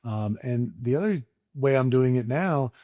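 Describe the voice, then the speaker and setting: A man speaking as a doctor, explaining treatment conversationally in a halting, measured way. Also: mid-range voice, animated, warm tone, no echo. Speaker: doctor, sponsor guest.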